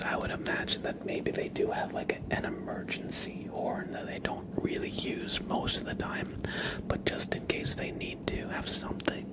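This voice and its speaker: A man hosting a live ASMR stream, chatting casually and haltingly in a soft, breathy whisper with a relaxed, musing manner. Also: low-quality recording. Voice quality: soft, breathy whisper with some creaky low voicing. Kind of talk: whispered ASMR livestream chat.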